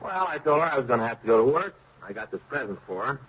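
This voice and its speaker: A man acting an earnest, fretful married police officer in a 1950s radio crime drama, with a husky voice and a homely, repetitive way of talking. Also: mid-range voice, sing-song, fast. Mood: earnest complaining. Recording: muffled old radio recording.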